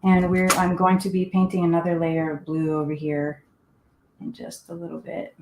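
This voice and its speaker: A woman's voice: lowish voice, completely flat delivery, normal speed, a little mellow, slight background noise. A woman from Finland teaching a live painting class from her desk, talking casually and thinking aloud as she works. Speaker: art teacher. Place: home desk. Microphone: live online class setup. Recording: roomy echo.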